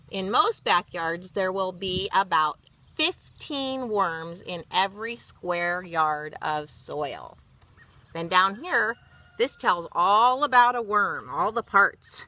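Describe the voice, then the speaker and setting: A woman's voice: mid-range voice, very animated, slow, bright, forceful tone, dry recording. A woman reading a picture book to children, expressively, with chatty asides and simple explanations. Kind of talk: read-aloud with chatty asides.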